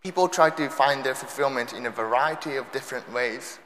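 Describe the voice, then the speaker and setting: A man's voice: medium pitch, a little fast, fairly bright tone, slight background noise. Young Japanese-accented man in echoey hall. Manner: light self-deprecating humor. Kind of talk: sermon talk. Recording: echoey hall with long reverb.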